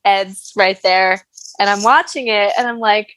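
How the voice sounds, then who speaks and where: An American woman telling stories in a casual interview, animated and self-deprecating in her humour. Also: mid-range voice, unhurried, no echo, rough recording. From United States. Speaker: guest, actress and singer.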